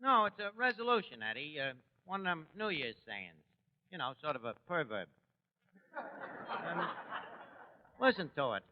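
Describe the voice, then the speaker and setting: An actor playing a brash, wisecracking New York tavern manager in 1940s radio comedy, with colloquial, mangled grammar. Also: male voice, a little high, big pitch swings, unhurried, long pauses, noisy background. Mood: self-satisfied. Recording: dull restored 1940s radio, hall reverb.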